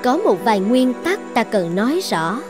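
A woman's voice: on the high side, very animated, moderate pace. A woman voicing a possessive young island sorceress in a cartoon fairy tale, coaxing and threatening with commanding self-assurance. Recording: music behind the voice.

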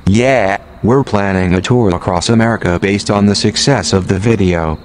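A man's voice: on the low side, normal speed, slight background noise, natural voice. A man playing a blunt, scheming musician in a comedy audio drama, with casual, sweary, sarcastic banter. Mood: matter-of-fact. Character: blunt, scheming male musician in comeback band.

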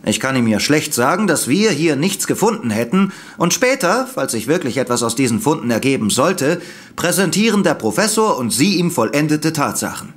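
A man's voice: a little high, big pitch swings, normal speed. An actor playing a dutiful, cautious male police officer in a German audio drama, speaking formally and correctly. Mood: uncertain.

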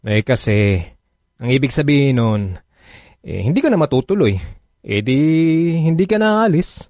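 Man acting sulky, possessive boyfriend, mid-pitched. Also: expressive, moderate pace, darkish tone, dry recording, clean studio sound, lightly acted. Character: possessive, reluctant adult male lover. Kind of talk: radio drama acting.

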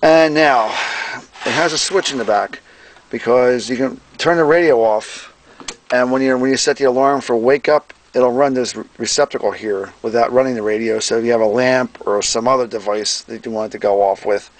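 A man's voice: medium pitch, normal speed, low-quality recording. Male presenter, mid-range voice, casual folksy demo from the workbench, plain-spoken. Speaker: presenter, radio restorer and seller. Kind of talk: casual hands-on product demonstration. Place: indoor workbench.

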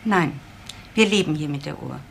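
An efficient, guarded laboratory assistant in a crime radio play. She is matter-of-fact and clipped, with a gravelly creak. There is faint noise behind her. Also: sits low, animated, normal speed, fairly bright tone, full character voice. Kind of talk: German crime radio drama acting.

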